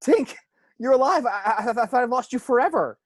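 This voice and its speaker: A man's voice: very high-pitched, lively intonation, brisk, dry recording, studio quality. A man playing an earnest, urgent heroic father in an amateur read of a fantasy adventure script. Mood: relieved.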